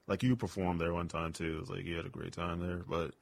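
A man's voice: level tone, brisk, gravelly. A man, a stand-up comedian, chatting casually as an interview guest, rambling with lots of "like" and "you know". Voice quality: booming voice.

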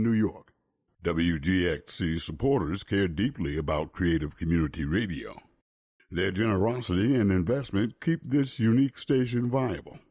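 Low husky male announcer, measured sponsor read, dry close sound. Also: a little mellow, no room sound. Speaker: station announcer.

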